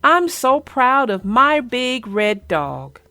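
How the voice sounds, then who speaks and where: A woman children's book narrator speaking expressively and deliberately, as if to young children. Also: a little high, very animated, pushed and bright, dry recording, faint music behind. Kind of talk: storybook read-aloud and casual chat.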